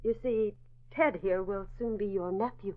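A woman's voice: medium pitch, unhurried, close to an everyday voice. An actress playing a weary, polite but firm young woman in an old radio drama. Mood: firm, placating. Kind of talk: 1940s radio acting. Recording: phone-like old radio recording, distant mic.

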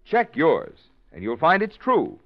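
A male radio announcer from a vintage broadcast, smooth and persuasive, whose pitch swings widely as he sells. The recording is dull and lo-fi. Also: wildly swooping pitch, measured pace. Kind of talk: vintage radio commercial read.

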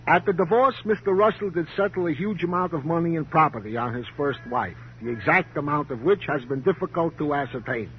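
An actor playing a self-pitying police sidekick who speaks in ornate, precise, formal phrases in an old radio crime drama. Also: male voice, a little high, lively intonation, brisk, few pauses, close to an everyday voice. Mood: officious, pompous. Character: fussy, self-pitying male police sidekick with pompous diction. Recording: muffled, hissy old radio broadcast.

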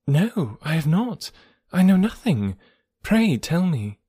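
A genteel young woman of a period novel, played by a male audiobook reader, lifted high in pitch. She is lively and eager, disbelieving and emphatic in her phrasing. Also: moderate pace, breathy edge, no echo, clean studio sound. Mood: urgent. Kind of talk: audiobook character dialogue.

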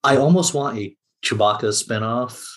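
A man speaking as a podcast guest in casual conversation, musing aloud in a halting way. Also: mid-range voice.

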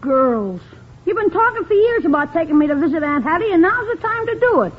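A woman's voice: very high voice, very animated, fairly quick. A woman playing a cheeky, scheming young schoolboy in a 1950s radio comedy, pleading and slangy. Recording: muffled old radio recording.

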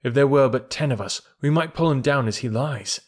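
A man's voice: mid-range voice, fairly quick, voice pushed up, natural voice. A man voicing a bold young jungle boy who gives orders eagerly. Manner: eager, commanding calls.

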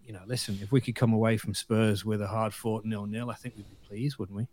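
A man on a football podcast panel in a studio, talking casually and building his points in a measured, repetitive way. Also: fairly low pitch, fairly even intonation, darkish tone, no echo.

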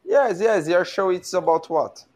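A man's voice: sits high, animated, measured pace, darkish tone. A young man from Brazil joining a live call as a guest, speaking careful, hesitant second-language English. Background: music playing.

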